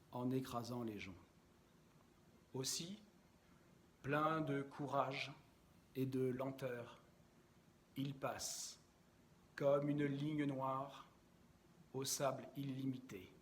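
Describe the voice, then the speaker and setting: A man reciting poetry in a measured, deliberate and expressive way, with frequent pauses. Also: mid-range voice, a little hiss or hum.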